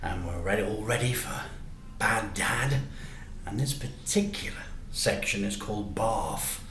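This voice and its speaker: A man reading a children's story aloud in an animated, deliberate way with dramatic emphasis. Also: fairly low pitch, slight background noise. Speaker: teacher reading stories to children. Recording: echoey room.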